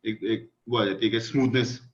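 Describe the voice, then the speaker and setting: A man's voice: lowish voice, fairly even intonation, moderate pace, dull audio. A man explaining animation with everyday examples, full of casual fillers. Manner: talkative, fillers like "matlab" and "achha yaar".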